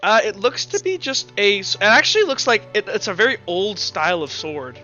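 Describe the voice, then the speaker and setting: A man narrating a tabletop roleplaying game as game master in a halting, informal, improvised way. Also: high voice, sing-song, sharp tone. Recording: dull lo-fi audio, music underneath. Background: background music.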